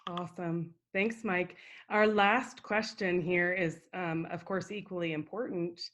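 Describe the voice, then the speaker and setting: A woman's voice: on the low side, fairly even intonation, unhurried, fairly bright tone. A woman moderating a webinar, friendly and upbeat, reading a question and wrapping up the session with a few ums. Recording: dull, muffled web call audio.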